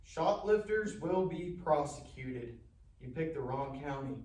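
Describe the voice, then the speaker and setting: A man playing a hostile, suspicious gas station clerk with gruff, folksy speech in a live table read. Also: on the high side, expressive, a little slow, a little mellow. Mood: hostile, menacing. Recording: roomy, distant live stage recording, noisy.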